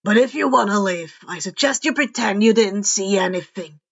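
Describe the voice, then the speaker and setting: Woman putting on a lowered, menacing male killer. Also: moderate pace, vocal fry, muffled recording. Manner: threatening, condescending. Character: menacing male vigilante killer, coolly threatening.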